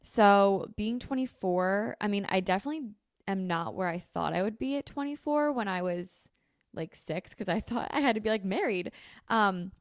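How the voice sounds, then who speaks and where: A woman's voice: medium pitch, animated, normal speed, dry recording, studio quality. A woman in her twenties hosting a casual solo podcast from her home kitchen, talking in a chatty, rambling way full of "like" and "um" into a handheld mic. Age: 20s. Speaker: podcast host. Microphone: handheld dynamic mic held close.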